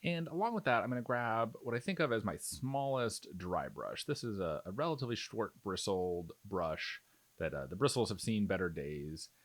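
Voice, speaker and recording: A man with a fairly low voice narrates a step-by-step tutorial in an informal, conversational manner. His intonation is very lively, moving freely up and down as he explains and reassures. The sound is dry, with very little room in it. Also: normal speed, dry recording. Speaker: miniature painting tutorial host.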